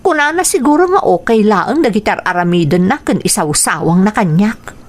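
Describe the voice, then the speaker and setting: A woman's voice: mid-range voice, animated, normal speed, lightly acted. An actress with an Ilocano accent playing a weary, long-suffering mother in a radio drama, plaintive and confiding. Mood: weary, resigned.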